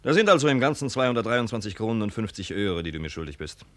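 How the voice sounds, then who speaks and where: Radio drama villain: grasping landowner, bright forceful voice, menacing sarcasm, dry close sound. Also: male voice, on the low side, very animated, fast, dry recording. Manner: sarcastic, menacing, self-righteous. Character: grasping, mocking farm heir threatening debtors. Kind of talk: German radio play acting.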